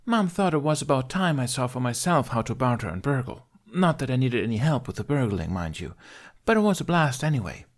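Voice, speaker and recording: A man's voice: medium pitch, big pitch swings, voice pushed up. A man voicing a brash, boastful young Viking girl thief in a storybook reading, chatty and cocky. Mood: proud, excited. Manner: chatty, cocky, fast-moving talk. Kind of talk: character voice in audiobook narration.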